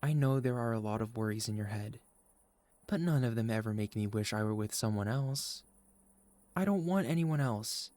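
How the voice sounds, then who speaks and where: A man playing a sweet, caring, gently teasing boyfriend in a soft, reassuring ASMR roleplay. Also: medium pitch, normal speed, dry recording, lightly acted. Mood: tender, reassuring. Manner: soft, tender, reassuring, playful. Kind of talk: ASMR boyfriend roleplay, intimate scripted monologue.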